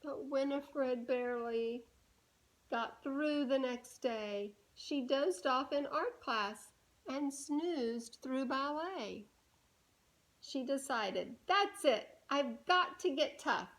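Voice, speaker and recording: A woman reading a storybook aloud to young children, animated and deliberate, pausing to put questions to her listeners. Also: high voice, rough recording.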